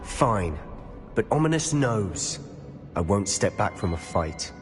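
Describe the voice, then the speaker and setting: A man's voice: fairly low pitch, very animated, takes it slowly. A man voicing a headstrong teenage schoolboy in a video game, intense, stubborn and emotional. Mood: defiant. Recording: game music behind.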